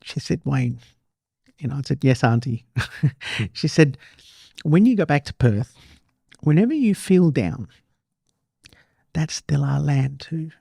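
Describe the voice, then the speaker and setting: An Australian man hosting a podcast, chatting casually and telling stories in an animated way, repeating himself for emphasis. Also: medium pitch, slow pace, creaky, long pauses, slightly rough, no echo, clean studio sound. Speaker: podcast host. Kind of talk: casual interview chat, storytelling.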